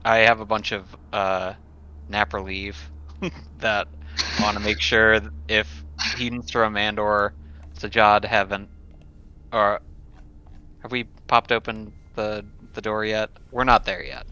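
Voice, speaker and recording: A man playing a tabletop roleplaying game, halting and thinking aloud as he moves between table talk and casual in-character lines. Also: lowish voice, flat delivery, sharp tone, clear, resonant tone. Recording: dull sound, faint noise.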